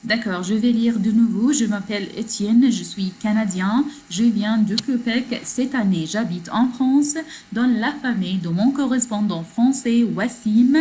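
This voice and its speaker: Slow, patient woman teaching French. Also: on the high side, dark tone, slight background noise. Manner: switches between French and English, slow, repeating phrases for learners. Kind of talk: online class, reading a text and explaining it. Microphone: computer mic in a video class.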